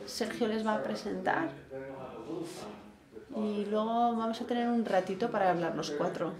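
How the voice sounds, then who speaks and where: A man's voice: high-pitched, fairly even intonation, moderate pace, crowd noise. A middle-aged man moderating a panel in a large event hall, summarizing each speaker in a conversational way with small fillers, into a handheld mic. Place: large indoor event hall with seated audience.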